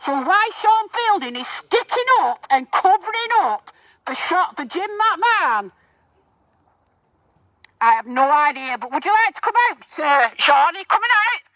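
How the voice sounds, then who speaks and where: A woman's voice: very high voice, big pitch swings, unhurried, bright, forceful tone, no echo. A woman gives a confrontational, accusatory protest speech out on a street, addressing bystanders through a megaphone. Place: outdoors on a residential street.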